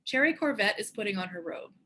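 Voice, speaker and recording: A woman's voice: medium pitch, expressive, fast talker, pushed and bright. A woman reading screenplay stage directions as scripted narration.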